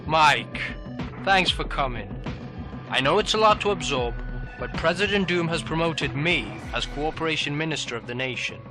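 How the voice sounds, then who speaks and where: A man voicing a scheming older corporate executive with a formal, persuasive manner in an animated superhero drama. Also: fairly high pitch, moderate pace, over music, close to an everyday voice. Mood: smooth, official.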